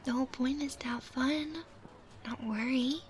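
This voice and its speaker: A woman's voice: sits high, steady tone, normal speed, lightly acted. A woman playing a clingy, obsessive, unhinged yandere girl in an ASMR roleplay, dramatic and pleading, drawing out her words. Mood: sweetly clingy.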